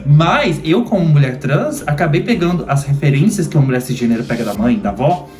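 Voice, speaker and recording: A woman's voice: deep voice, animated, a little fast. A thirty-year-old Brazilian woman in casual chat, frank and opinionated in the way she talks. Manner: opinionated, frank, self-described grumpy. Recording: roomy echo, faint music.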